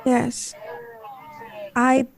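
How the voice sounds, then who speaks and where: A woman's voice: high voice, monotone, drawn-out words, vocal fry, full character voice. A woman playing an anguished, remorseful wife, earnest and melodramatic in a 1930s-style radio drama. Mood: tearful remorse. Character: anguished, remorseful wife pleading with her husband.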